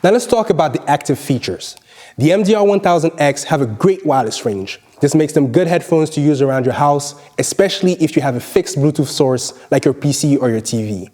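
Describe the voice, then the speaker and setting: A man reading a scripted review narration in a measured, explanatory way, as a product reviewer. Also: a little high, dark tone.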